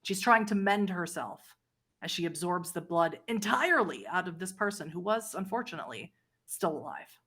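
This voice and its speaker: A woman's voice: on the low side, lively intonation, normal speed, fairly bright tone, slightly rough. A woman running a tabletop roleplaying game as game master, narrating it like a dramatic story.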